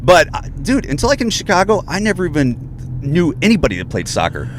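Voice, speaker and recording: A man from Ohio hosting a casual podcast chat, excitable, swearing freely and saying "dude" constantly. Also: a little high, fast talker, slight background noise. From Ohio, USA. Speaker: podcast host.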